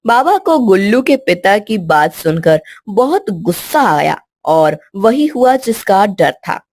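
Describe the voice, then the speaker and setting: A woman's voice: mid-range voice, very animated, takes it slowly, darkish tone, no echo, studio quality. A woman storyteller reading a scripted children's story as narration.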